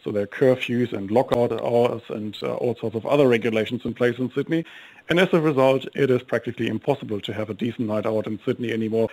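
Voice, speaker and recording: A middle-aged man with a German accent speaks quickly as a guest in a radio interview. He argues fluently, with the odd um. His pitch sits in the middle. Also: steady tone, a little mellow, rough recording. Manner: fluent, brisk, with ums while arguing. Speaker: think tank guest. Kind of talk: interview on a talk radio show.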